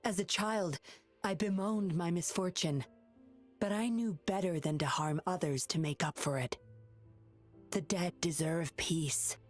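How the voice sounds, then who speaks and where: An actress playing a mysterious former patroller in a video game, composed, firm and solemn. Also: female voice, lowish voice. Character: mysterious, resolute former patroller woman, cool and secretive. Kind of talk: dramatic video game voice acting.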